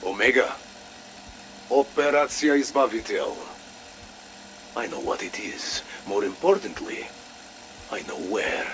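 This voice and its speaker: A wry, principled Soviet operative, speaks in a game audio log. His low voice is deliberate and dryly sardonic, with some noise behind it. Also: expressive, a bit bright. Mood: grave, measured.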